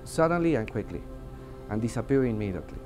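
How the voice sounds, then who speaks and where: A man's voice: medium pitch, sing-song, quick pace. A man with a Mexican Spanish accent, a native Spanish speaker, giving interview testimony as an air traffic controller, hesitantly searching for words. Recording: music under the voice. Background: background music.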